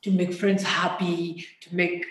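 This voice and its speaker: An interview guest speaking candidly and reflectively, often pausing to search for words. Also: medium pitch, little pitch movement, a bit bright, echoey room, slight background noise.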